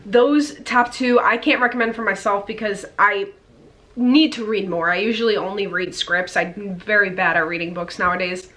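A young woman in her twenties, an actor talking to camera at home, nasal from a cold and excitable, with lots of fillers and restarts. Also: mid-range voice, moderate pace. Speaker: actor and YouTuber. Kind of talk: casual vlog advice to camera. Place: indoor room at home. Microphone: camera in front at head-and-shoulders framing.

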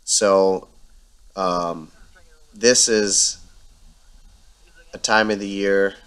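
A man calmly narrating his fishing video in a voice-over, explaining with frequent ums. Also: low-pitched, drawn-out words, smooth. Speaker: angler and channel host.

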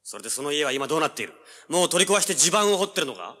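A cocky young treasure hunter in a radio drama, talking fast with swooping, animated pitch. He is brash, ruthless and threatening, with music behind him. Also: full character voice. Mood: demanding. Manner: brash, threatening, hard-boiled. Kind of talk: dramatic radio drama acting with first-person narration.

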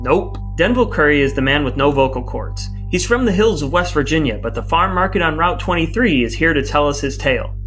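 An American man co-hosting a podcast in an articulate, measured, scripted delivery. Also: fairly high pitch, lively intonation, fairly bright tone. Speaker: podcast co-host. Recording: guitar music behind the voice. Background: guitar music.

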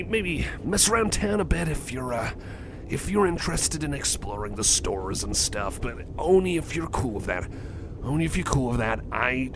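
Bashful, stammering cyborg guy, acted by a man, voice swooping. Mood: nervous, hopeful. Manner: shy, stammering, flustered, repeating himself. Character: bashful, kind male cyborg friend nervously confessing a crush. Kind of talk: ASMR roleplay, spoken to the listener. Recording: clear background vehicle rumble noise. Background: train ride ambience.

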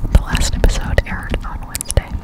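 Young woman, soft unvoiced whisper, close-miked, casual gaming ASMR, unhurried halting delivery. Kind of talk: ASMR, casual gameplay chat. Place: indoors in a room at home. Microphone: close-up desktop condenser microphone.

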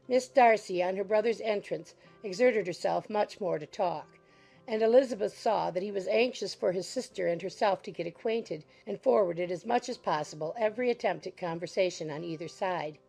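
A woman narrating a dramatized audiobook of a classic novel in a measured, formal storytelling manner. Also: mid-range voice, creaky, dark tone, faint music behind, poor audio.

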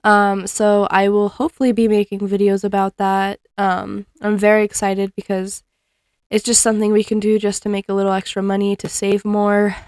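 A woman's voice: mid-range voice, monotone, normal speed, a little mellow, clear, resonant tone, dry recording. Chatty young woman folding laundry at home. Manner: chatty, laughs often, frequent ums. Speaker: cleaning vlogger. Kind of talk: casual chat while folding laundry. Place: living room at home, indoors. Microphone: fixed camera across the room.

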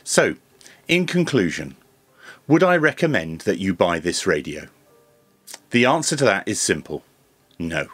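A man in his fifties presenting a product review in a measured, methodical way, step by step. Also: low-pitched, very animated, fairly bright tone, husky, dry recording, faint music behind. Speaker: presenter of radio equipment reviews. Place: small indoor home radio workshop.

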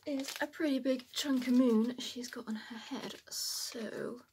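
A woman in her thirties talks to camera in an informal, self-deprecating way. Her voice sits on the high side. It is recorded in a home sewing room with some noise behind her. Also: fairly even intonation, measured pace, darkish tone. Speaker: costume sewing vlogger. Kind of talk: casual chatty vlog to camera.

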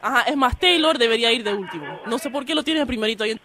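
A man's voice: very high-pitched, expressive, racing, pushed and bright, some chatter behind, heavily acted. A man with a Panamanian accent voicing an offended, pouty woman who questions and insists, in a radio prank call. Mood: insistent, teasing. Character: offended woman demanding to be mentioned first. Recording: slightly noisy phone line.

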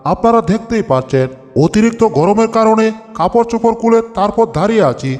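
A man voices a silly cartoon weatherman with a very high voice. He announces with mock formality and comic bungling. Music and long reverb accompany him. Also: expressive, quick pace, darkish tone. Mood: flustered. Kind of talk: mock news broadcast in Bengali cartoon dub.

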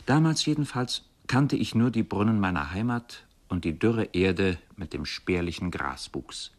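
A reflective man from a poor village in a radio play. He speaks in a lowish voice. His telling is measured, literary and contemplative. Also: lively intonation. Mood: contemplative, wistful.

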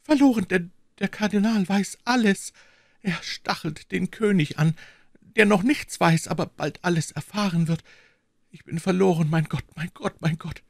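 A man's voice: a little high, very animated, unhurried, no room sound, studio quality, voice pushed up. A man voicing a frightened young queen who pleads and stammers in an audiobook reading. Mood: despairing. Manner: trembling, stammering pleas.

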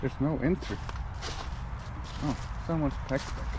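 A man whose first language is Finnish explores an abandoned house outdoors, musing to his handheld camera at an unhurried pace. He often trails off and restarts as he notices things. Steady outdoor noise runs beneath his mid-pitched voice. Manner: musing, thinks aloud with restarts. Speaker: urban explorer. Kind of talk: casual walkthrough commentary. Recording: noisy outdoor camera audio.

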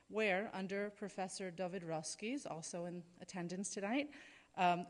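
A woman in her thirties at a podium, giving prepared introductory remarks from notes with frequent fillers. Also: mid-range voice. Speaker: event speaker introducing a lecturer. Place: large lecture hall, at a podium. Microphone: podium microphone. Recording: reverberant hall.

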